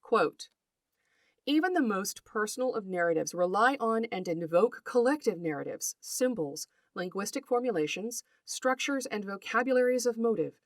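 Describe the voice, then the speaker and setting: Woman podcast narrator, scholarly scripted read, precise and deliberate phrasing, lively pitch movement, dry close sound. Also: dry recording. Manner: precise, articulate, lecturing with deliberate enumerations. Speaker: podcast host.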